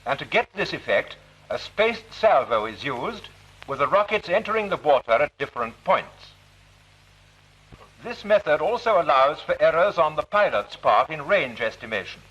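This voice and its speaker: A man's voice: on the high side, very animated, moderate pace, a bit bright, husky, dull audio. A British man reading scripted narration for an instructional film in a formal, didactic manner, on a vintage soundtrack.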